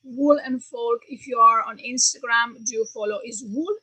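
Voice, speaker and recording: A woman's voice: a little high, moderate pace, pushed and bright, husky, no room sound, poor audio. A woman with an Italian accent, hosting a casual interview, chatty and full of fillers and restarts. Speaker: interviewer, yarn company host.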